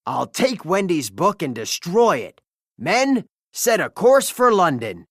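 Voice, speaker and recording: A narrator acting out a bumbling, scheming pirate captain from a children's storybook. He is a commanding man, theatrical and slow to savor his words, with big swings in pitch. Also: very animated, dry recording, clean studio sound, full character voice. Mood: fuming. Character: blustering, scheming, bumbling adult male pirate captain villain.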